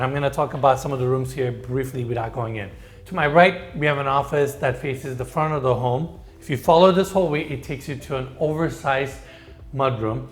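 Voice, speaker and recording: A man from Turkey with a Turkish accent guides viewers through a large house. His pitch sits in the middle, and his delivery is eager and showcasing. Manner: enthusiastic, showcasing. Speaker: host. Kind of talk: home tour presentation.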